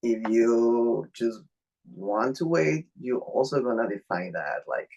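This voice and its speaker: A man from Mexico whose first language is Spanish, speaking English with a Mexican accent as an interview guest, earnest and a little halting, over a video call. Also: medium pitch, lively intonation, creaky, a little mellow, highs cut off. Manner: earnest, halting non-native phrasing.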